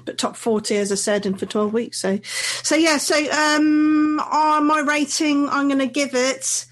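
A woman's voice: high voice, unhurried. A woman co-hosting a casual film review chat, chatty, with frequent restarts and easy laughter. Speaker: film review podcast co-host.

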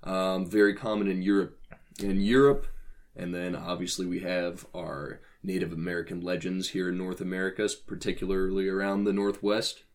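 A man co-hosting a casual podcast, talking about folklore in a halting, wry, dry-humoured way. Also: sits low, flat delivery. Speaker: podcast co-host.

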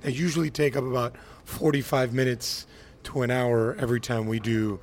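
Podcast host, man, 30s, from Miami, animated ranter, mid pitch. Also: normal speed. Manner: animated storyteller, ranting, acts out quotes. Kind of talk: casual podcast chat.

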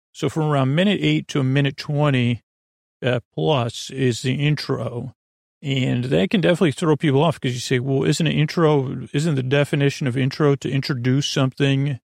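A man hosting a sleep podcast in a creaky voice, with a meandering, halting monologue full of repeats and tangents. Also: medium pitch, darkish tone, dry recording, clean studio sound. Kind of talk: rambling bedtime monologue.

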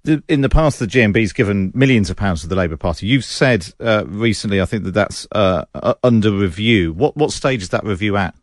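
A male radio presenter who talks fast and frames pointed questions. His voice sits fairly low, and the sound is dry and close. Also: lively intonation, no echo. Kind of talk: broadcast interview and links.

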